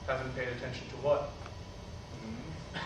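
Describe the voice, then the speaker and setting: A man's voice: mid-range voice, little pitch movement, measured pace, close to an everyday voice. Guy playing strict preacher dad, curt and dodgy, far from the mic w/ lots of echo. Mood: stern. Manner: curt, dismissive. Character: stern, evasive preacher father, middle-aged. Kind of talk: staged play reading. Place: indoor theatre stage. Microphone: distant camera microphone. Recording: echoey stage recording, far off, noisy.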